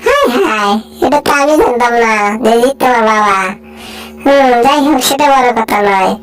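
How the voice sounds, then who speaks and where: A woman's voice: high voice, animated, normal speed, faint music behind, poor audio. A woman voicing a chatty, grumbling grown-up household character in a homemade cartoon, rambling and exclaiming. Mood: curious, chatty. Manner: rambling, lamenting, full of stock exclamations.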